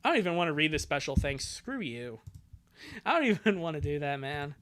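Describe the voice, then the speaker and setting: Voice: medium pitch, big pitch swings, brisk, fairly bright tone. A livestreamer with a mid-range voice reads fan fiction aloud and comments on it. The delivery is sarcastic and exasperated, with crude joking asides. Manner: sarcastic, exasperated, breaks into outbursts. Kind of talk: live comic reading with joking commentary.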